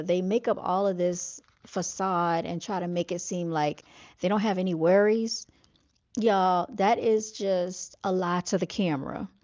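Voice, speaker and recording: A woman's voice: mid-range voice, darkish tone, dull audio. A woman from North Carolina with a Southern accent, chatting casually to her viewers and reading their comments, with lots of fillers and "y'all.". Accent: Southern American. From North Carolina, United States.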